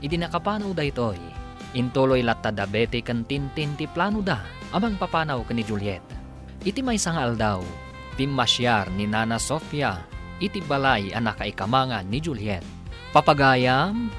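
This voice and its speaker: A man's voice: mid-range voice, big pitch swings, normal speed. A man narrating a radio drama serial in a dramatic, announcing style. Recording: music under the voice. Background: background music.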